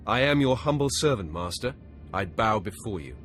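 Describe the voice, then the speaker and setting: An actor playing a cool, confident young Sith warrior in a video game cutscene, formal and measured. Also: male voice, on the low side, very animated, fairly bright tone, faint music behind. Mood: respectful.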